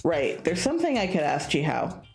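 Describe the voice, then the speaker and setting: Animated low-pitched woman reading aloud. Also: moderate pace, gravelly, soft background music. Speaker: streamer reading a visual novel aloud. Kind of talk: casual expressive read-aloud narration.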